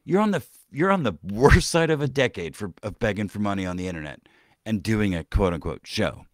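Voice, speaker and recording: A man's voice: lowish voice, animated, a little fast, dry recording, studio quality. A man hosting a podcast, rambling and swearing through casual commentary, with many restarts and trailing-off sentences. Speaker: podcast host.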